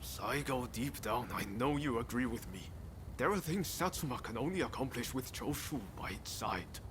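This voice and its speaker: An actor playing a bold, easygoing samurai idealist who talks informally and persuasively in a video game cutscene. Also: male voice, mid-range voice, lively intonation, moderate pace, background noise, close to an everyday voice. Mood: earnest persuading. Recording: music behind the voice.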